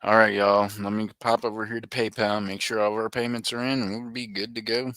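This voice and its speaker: A man's voice: lowish voice, flat delivery, a little fast, fairly bright tone, clear, resonant tone, no room sound. A middle-aged man hosting a live auction stream, chatting and joking with viewers by name. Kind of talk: casual livestream chat.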